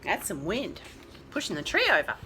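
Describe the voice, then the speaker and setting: A woman's voice: lowish voice, wildly swooping pitch, normal speed, sharp tone, a little hiss or hum. A woman storyteller reading aloud expressively to small children, with chatty asides along the way.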